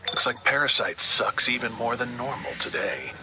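A man's voice: mid-range voice, animated, normal speed, lightly acted. A man playing a wry tech adviser to villains in a video game, sardonic and conversational. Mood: sarcastic. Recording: loud game music behind.

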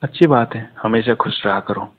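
A man's voice: lowish voice, normal speed, breathy edge. A man chatting casually on a recorded phone call, joking and teasing.

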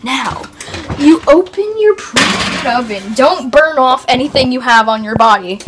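A young woman with a high, very lively voice plays host in a kitchen cooking video, goofy and teasing as she chats through the steps. The recording is noisy and she sounds off the mic. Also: a little slow, recorded from a distance. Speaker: vlogger hosting a cooking video. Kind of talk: playful, chatty cooking demonstration. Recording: echoey, noisy room, off mic.

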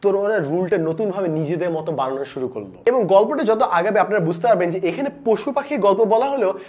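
A man in his twenties with a Bangladeshi accent, presenting a book review in an animated, explanatory way with English words mixed in. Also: sits high, fast. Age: 20s. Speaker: book reviewer, educational video host. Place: indoor studio with plain backdrop. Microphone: lapel mic.

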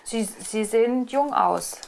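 A woman's voice: on the high side, takes it slowly, vocal fry, a little mellow, a little hiss or hum. A woman in her forties, a TV reporter cooking in a home kitchen, chatty and curious with many questions. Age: 40s. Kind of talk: friendly TV interview while cooking. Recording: clattering dishes and pans behind.

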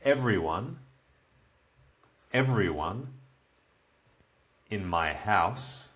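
A man in his twenties with an Australian accent, teaching beginners in very slow, simple, repeated phrases. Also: on the low side, expressive, a bit bright. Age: 20s. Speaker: English teacher. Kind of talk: slow comprehensible-input lesson for beginners. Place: small plain room indoors.

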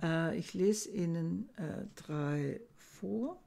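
Older woman lecturing slowly, gravelly voice. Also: on the low side, lively intonation, dark tone. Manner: slow, thoughtful, with frequent hesitations. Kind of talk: recorded lecture reading and explaining old verses. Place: home study lined with bookshelves. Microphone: webcam at desk.

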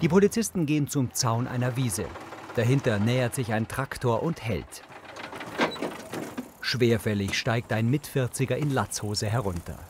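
A man's voice: medium pitch, lively intonation, normal speed, background noise. A man reading scripted audio description of scenes in the present tense as a narrator.

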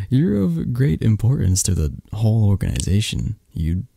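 Male actor as protective mafia boss lover, ASMR roleplay, breathy and husky, unhurried halting delivery, tender yet possessive, close dry sound. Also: on the low side, sing-song, no room sound, heavily acted. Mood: possessive, devoted. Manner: slow, hushed, tender, halting. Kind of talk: ASMR boyfriend roleplay, intimate and soft.

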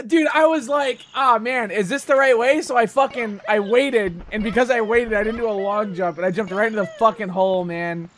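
A man in his twenties streaming a game, joking crudely with friends and yelling at his own mistakes. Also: sits high, a little fast, few pauses. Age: 20s. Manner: crude, joking, sudden frustrated outbursts. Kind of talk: casual gaming stream chat. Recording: game music playing behind. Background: game music.

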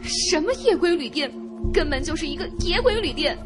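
A woman's voice: very high-pitched, animated, moderate pace, over music. A woman playing a frightened, hysterical young woman in a horror radio drama, agitated and pleading. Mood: panicked, pleading. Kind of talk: Mandarin audio drama acting.

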